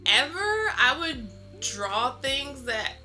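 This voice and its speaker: A woman's voice: high voice, sing-song, takes it slowly, pushed and bright, faint music behind. A woman being interviewed, answering casually in a candid, reflective and informal storytelling way. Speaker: interviewed artist.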